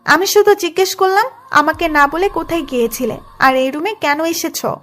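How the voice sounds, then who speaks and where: A woman's voice: very high voice, normal speed, a bit bright. A woman playing a jealous, pushy female boss in love with her employee, in an audio love drama. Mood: demanding. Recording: music under the voice.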